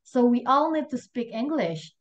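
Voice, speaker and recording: A woman's voice: fairly high pitch, very animated, moderate pace, muffled recording. Chatty young Indonesian woman on a video call. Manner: chatty, giggly, self-deprecating. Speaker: host of an online class. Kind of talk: casual chat.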